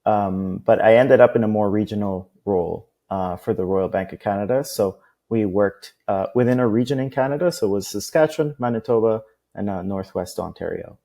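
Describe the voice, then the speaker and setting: A man's voice: fairly low pitch, brisk, creaky, mellow. A man from Costa Rica, a guest on a business interview, talking at length in a loose, rambling way. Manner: talkative, many fillers and restarts.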